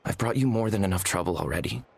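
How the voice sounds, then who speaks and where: A man's voice: deep voice, fast talker, vocal fry, husky, heavily acted. A man playing an earnest, self-deprecating and polite young man in a video game. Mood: wistful, resigned. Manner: earnest, hesitant, humble. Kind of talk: video game cutscene voice acting.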